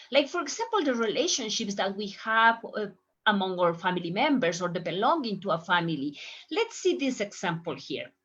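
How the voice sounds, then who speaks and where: Lady teacher, slow, repeats examples, bouncy pitch, kinda muffled recording. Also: breathy edge. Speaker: Spanish teacher. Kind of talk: online language lesson. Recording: dull lo-fi audio.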